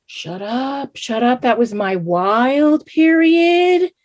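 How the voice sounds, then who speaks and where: A woman's voice: high-pitched, lively intonation, slow, darkish tone, dull audio. A woman playing a doting, dramatic Salvadoran mother in her thirties in a staged reading, with an exclamatory, chiding manner. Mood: teasing. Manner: exclamatory, chiding, mixes Spanish into English. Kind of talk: live staged play reading.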